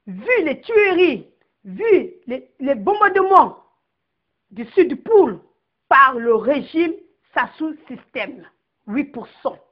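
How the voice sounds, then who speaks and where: A woman from the Republic of the Congo makes an impassioned political appeal in a high voice that rises and falls widely. She speaks slowly and weighs every word, and she declaims her long lists one word at a time. The recording sounds dull and lo-fi. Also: sing-song. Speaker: political activist addressing compatriots.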